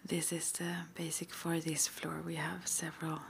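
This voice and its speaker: A woman whispering breathily in an improvised ASMR roleplay, halting and gently reassuring as she plays a guide at a witch school. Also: low-pitched, completely flat delivery, creaky, a little hiss or hum.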